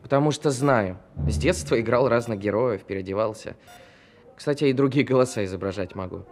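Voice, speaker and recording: A man's voice: mid-range voice, brisk, darkish tone, lightly acted. A man acting in an audio drama as a proud, touchy costumed performer who talks in a defensive, sardonic way.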